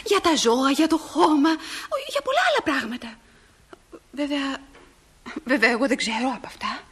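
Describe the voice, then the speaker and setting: A woman's voice: high-pitched, animated. An actress playing an earnest, nervous small-town teenage girl in a stage drama, speaking hesitantly and repeating words as if flustered. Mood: earnest, tender. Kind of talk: stage drama acting for broadcast.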